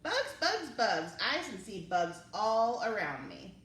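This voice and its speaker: Animated woman leading children's story time. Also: mid-range voice, takes it slowly. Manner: singsong, encouraging, addressing small children. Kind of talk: children's read-aloud and chat to young viewers. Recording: roomy, distant mic, faint background noise.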